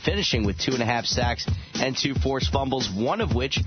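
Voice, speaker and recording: A man's voice: mid-range voice, expressive, normal speed. Dull-sounding male radio sports anchor over music. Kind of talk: scripted news read. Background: music bed.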